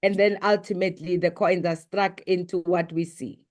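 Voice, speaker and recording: A middle-aged South African woman whose first language is Sepedi, answering interview questions as a business executive in a measured, composed way. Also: lowish voice, steady tone, a little mellow.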